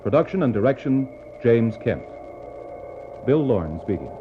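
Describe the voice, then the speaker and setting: A man's voice: medium pitch, big pitch swings, unhurried, music in the background. A man reading credits as a formal radio announcer in a 1960s broadcast style. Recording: noisy, muffled 1960s radio recording.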